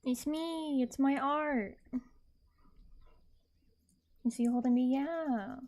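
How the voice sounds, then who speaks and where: A woman's voice: high voice, takes it slowly, a little mellow. A woman chatting casually to her livestream viewers in a rambling, repetitive way. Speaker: streamer, artist and ASMR creator.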